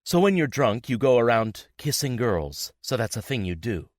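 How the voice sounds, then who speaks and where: A man's voice: medium pitch, big pitch swings, normal speed, no room sound, studio quality, pitched above the performer's own voice, natural voice. A man voicing a bitter, betrayed young woman in an audiobook, sarcastic and accusing, his voice raised well above his own. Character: furious betrayed young woman, sharp and scornful. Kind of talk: audiobook character voice.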